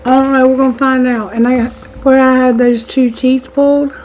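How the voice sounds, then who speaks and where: A woman's voice: high voice, level tone, moderate pace, darkish tone, slight background noise, low-quality recording. A woman giving a tarot reading on her channel, whose voice is strained and fading. She speaks straight to her listeners as a collective, using spiritual wording and prayer. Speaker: tarot reader.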